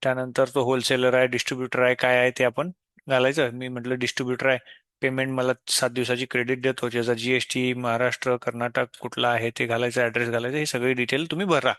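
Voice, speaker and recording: A man explains software step by step in an unscripted tutorial, often posing questions and answering them himself. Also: medium pitch, level tone, fast talker, dry recording, clean studio sound. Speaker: software demo presenter.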